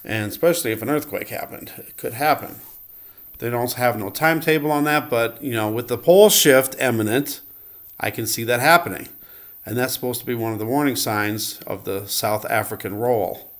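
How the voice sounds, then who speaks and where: A man's voice: medium pitch, moderate pace, a bit bright. A man vlogging in a casual, unscripted way, commenting as he goes in a hesitant, rambling manner and often correcting himself.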